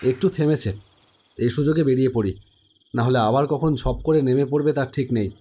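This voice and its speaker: A man's voice: medium pitch, moderate pace, mellow, breathy edge. A man giving dramatic first-person narration of a horror story. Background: music.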